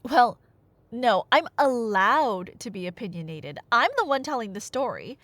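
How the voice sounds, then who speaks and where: A woman's voice: a little high, a little slow, no room sound. A woman playing a nerdy, chatty, playfully bossy girlfriend who rambles through myths in a conversational ASMR roleplay. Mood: playfully indignant. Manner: rambling, animated, tangent-prone, mock-indignant.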